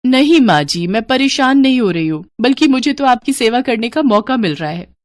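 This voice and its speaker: A woman voicing a gentle, devoted young wife in a dramatised moral story, earnest, soothing and respectful. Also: fairly high pitch, lively intonation, moderate pace, no room sound, voice pushed up, lightly acted. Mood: pleading, devoted.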